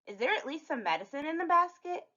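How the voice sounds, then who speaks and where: A woman's voice: high voice, big pitch swings, fast, pushed and bright, voice pushed up, exaggerated voice. A woman voicing a cheeky, questioning little girl in a storybook, on a dull recording. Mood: doubtful. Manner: questioning, sassy. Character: cheeky, outspoken little fairy-tale girl.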